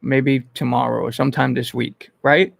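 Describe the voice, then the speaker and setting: A man from the Bronx, hosting a casual livestream and thinking aloud in an informal, halting way. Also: mid-range voice, fairly even intonation, gravelly, no echo. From Bronx, New York. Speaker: host of an online entertainment interview channel. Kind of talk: casual livestream chat answering viewers.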